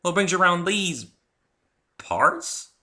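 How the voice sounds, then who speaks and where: A man's voice: sits high, animated, slow pace, bright, forceful tone, voice pushed up, heavily acted. A man voicing a friendly young female farm pony with a folksy US Southern drawl in a story reading. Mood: hearty, welcoming. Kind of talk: dramatic fan fiction reading.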